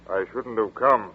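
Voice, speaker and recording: An actor playing a soothing, hypnotic man who murmurs slowly, in 1940s radio acting. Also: on the low side, a little hiss or hum. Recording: tinny old radio broadcast with music.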